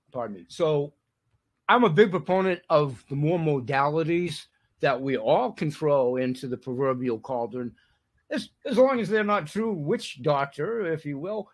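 A man's voice: fairly high pitch, expressive, a little slow. A man hosting an interview, talking in a rambling, apologetic way while losing his voice. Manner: rambling, apologetic, frequent "correct" and "right" interjections. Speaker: interview host. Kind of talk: conversational interview.

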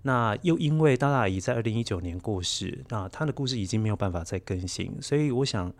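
A man in his forties with a Taiwanese Mandarin accent, speaking thoughtfully as an interview guest and explaining with gentle emphasis. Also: lowish voice, animated, fast talker. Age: 40s. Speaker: guest, children's story podcaster. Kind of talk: reflective interview talk.

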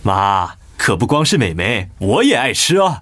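A man's voice: on the low side, very animated, moderate pace, fairly bright tone. An actor playing a conciliatory, apologetic son-in-law and hardworking family man in a radio drama. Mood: soothing, cheerful. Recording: music behind the voice.

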